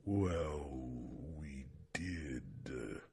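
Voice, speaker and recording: Huge old dragon for asmr roleplay, super slow n husky, caring like a parent, hums between phrases. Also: male voice, a little mellow, full character voice. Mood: thoughtful, caring. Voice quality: deep breathy gravelly growl. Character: ancient, tender, protective dragon, parental mentor to a hero.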